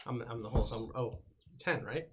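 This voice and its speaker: A man's voice: mid-range voice, brisk, background noise. A man playing a tabletop game, chatting casually in a brash, blunt, playful way.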